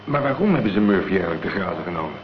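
Low-voiced, terse private detective. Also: male voice, animated, racing. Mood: probing. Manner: terse, probing, matter-of-fact. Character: steady, laconic male private detective, wary and terse. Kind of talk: radio drama acting. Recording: dull, faintly noisy old radio play recording.

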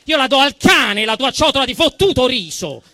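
A man's voice: very high-pitched, sing-song, moderate pace, sharp tone, no echo, voice pushed up, exaggerated voice. A man voicing an indignant, crude, food-obsessed Italian everyman in a comic monologue, with a Roman accent and blunt, aggrieved retorts.

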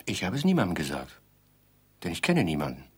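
An actor playing a placid, defensive bridegroom in an absurdist radio play, musing and mildly pompous, with a husky voice. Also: male voice, sits low, wildly swooping pitch, brisk, heavily acted. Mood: musing, resigned. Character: placid, defensive bridegroom, absent-mindedly insisting on their happiness.

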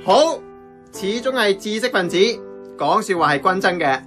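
A man's voice: high voice, lively intonation, moderate pace, a bit bright. A man playing a brash, defensive nephew who manages the family diner in a radio drama, speaking in an exclamatory way with a Hong Kong accent. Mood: exasperated. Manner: cocky, exclamatory. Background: music.

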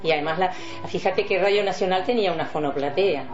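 Older Uruguayan woman with a low voice. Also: quick pace, lo-fi sound, over music. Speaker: veteran radio announcer being interviewed. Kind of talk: reminiscing in a radio interview.

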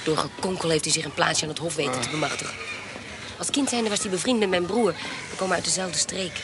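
A woman's voice: on the low side, fast, close to an everyday voice. An actress playing a shrewd, resolute young woman in a period radio drama, speaking in a matter-of-fact, decisive way. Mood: brisk, determined. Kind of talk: Dutch radio drama acting. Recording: noisy ambience behind the voice.

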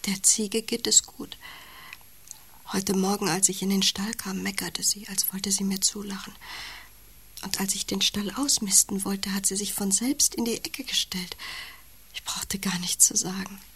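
Very breathy, gentle, coaxing wife. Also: female voice, mid-range voice, fairly even intonation, a little slow, full character voice. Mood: tender, hushed. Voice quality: very breathy, half-whispered. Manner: soft, coaxing, nagging gently. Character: gentle, caring, persistent wife of taciturn man. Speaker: radio drama actor. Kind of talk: intimate German radio play acting.

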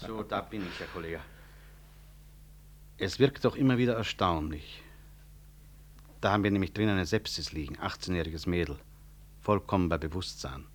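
An actor playing a composed, sardonic senior hospital director in a 1960s radio drama, speaking with dry irony. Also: male voice, low voice, normal speed, long pauses. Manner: dry, ironic. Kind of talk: 1960s German-language radio drama acting.

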